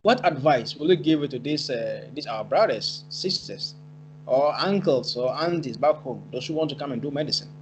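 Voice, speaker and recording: A man's voice: mid-range voice, lively intonation, normal speed, slight background noise, low-quality recording. A man hosting an interview, encouraging his guest and speaking in loose, rambling phrases. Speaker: interviewer and channel host. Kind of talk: casual interview. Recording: music playing behind.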